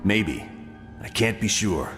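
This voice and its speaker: A man's voice: fairly low pitch, animated, takes it slowly, fairly bright tone. A man playing a serious, matter-of-fact colonel who leads a team in a video game cutscene. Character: male spy-agency colonel, gruff, serious team leader. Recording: long reverb, music behind.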